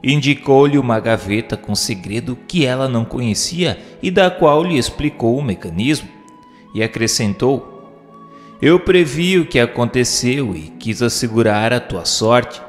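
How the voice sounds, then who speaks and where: Male narrator, mid-pitched, formal and measured, scripted reading with long reverb over music. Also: expressive. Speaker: narrator reading questions and commentary. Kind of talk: scripted audiobook narration of a spiritist text.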